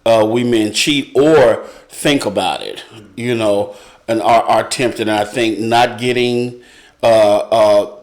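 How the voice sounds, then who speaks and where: A man's voice: mid-range voice, slow pace. A man speaking casually as a panel guest, hesitant and often repeating himself as he searches for words. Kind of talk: casual conversation.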